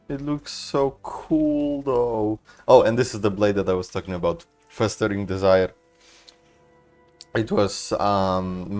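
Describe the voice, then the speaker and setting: A man's voice: low voice, a little mellow. A man doing casual gaming commentary, slangy and musing aloud. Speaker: gaming streamer. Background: game music.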